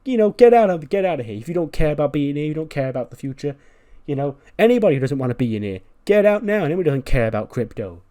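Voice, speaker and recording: Guy doing a indignant crypto bro wrestler, flustered, blustering, pitched up a bit. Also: very animated, moderate pace, few pauses, warm tone. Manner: exasperated, blustering.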